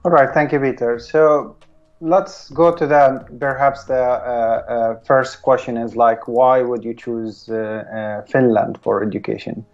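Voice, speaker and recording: A man's voice: medium pitch, fairly even intonation, measured pace, muffled recording, soft background music. A man from Palestine hosting a live stream, talking casually and hesitantly with many filler sounds.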